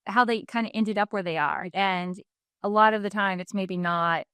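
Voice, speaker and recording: A woman's voice: mid-range voice, a bit bright, clean tone, dry recording. Woman podcast host, curious interviewer, clean voice on a dry close mic. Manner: friendly, curious, quick follow-up questions. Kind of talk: casual interview chat.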